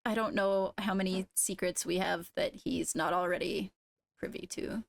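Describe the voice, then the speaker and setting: A woman's voice: on the low side, fairly even intonation, vocal fry, slight background noise. A woman playing a diplomatic court leader in improvised game roleplay, speaking formally and choosing words with care. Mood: supportive, measured. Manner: deliberate, formal, careful phrasing.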